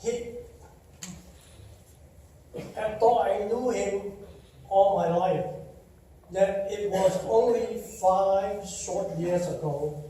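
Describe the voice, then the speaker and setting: An older man with a Chinese accent, Taiwanese his first language, giving a slow, warm eulogy full of gentle storytelling at a memorial service. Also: high voice, a little hiss or hum. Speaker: eulogy speaker. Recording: echoey hall, distant mic.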